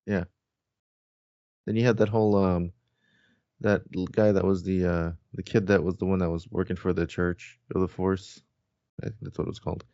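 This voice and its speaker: Man, deep mellow voice, hesitant casual co-host, dull dry recording. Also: level tone, moderate pace, pauses often, no echo. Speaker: podcast co-host. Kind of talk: casual chat.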